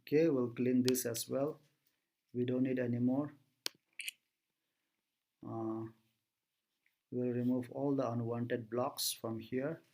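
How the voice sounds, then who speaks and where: A man presenting an informal software tutorial, thinking aloud step by step as he works. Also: mid-range voice, little pitch movement, slow, long pauses.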